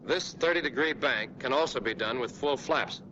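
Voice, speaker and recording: An American man narrating a 1940s military training film as an instructor, speaking with clear authority straight to the listener. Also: medium pitch, moderate pace, background noise. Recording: dull, band-limited 1940s film soundtrack.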